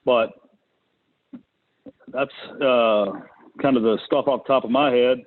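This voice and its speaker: A man talks through a thin, phone-like connection as host of a business call. His delivery is casual and unscripted. He pauses to think as he goes. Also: mid-range voice, fairly even intonation. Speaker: conference call host, real estate investor. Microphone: conference call connection. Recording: muffled, narrow phone-line sound.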